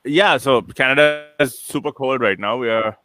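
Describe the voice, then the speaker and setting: Relaxed man on a video call. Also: medium pitch, moderate pace. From Sri Lanka. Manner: relaxed, rambling, frequent restarts and chuckles. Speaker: interview guest, entrepreneur. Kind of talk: casual conversational interview.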